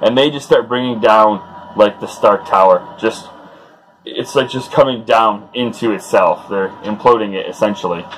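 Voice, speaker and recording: A man's voice: fairly low pitch, moderate pace, a bit bright, slight background noise, rough recording. A man hosting a comic read-along, retelling the story off the cuff with informal, chuckling fan enthusiasm and loosely acted dialogue. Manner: acts out dialogue loosely in his own voice.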